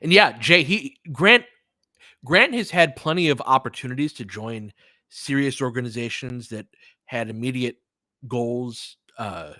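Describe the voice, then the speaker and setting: Man, sports stream host, bright voice, dry sound, casual play-by-play and analysis, conversational, mildly profane. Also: medium pitch, expressive, measured pace, no room sound. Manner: conversational, chatting back to listeners, mildly profane.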